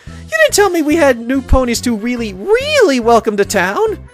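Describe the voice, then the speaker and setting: Man putting on a high-pitched, excitable cartoon pony mare. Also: normal speed, faint music behind, exaggerated voice. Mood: frantic excitement. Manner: gushing, exclamatory. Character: hyper, excitable pink cartoon pony mare, party-loving.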